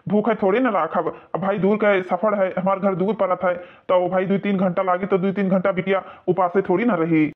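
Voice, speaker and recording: A high-pitched cartoon groom's father reassuring the bride's family, as a man puts him on. He talks with an Awadhi accent in a boastful, generous way. The sound is muffled and lo-fi. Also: flat delivery, a little fast, hardly any pauses, natural voice.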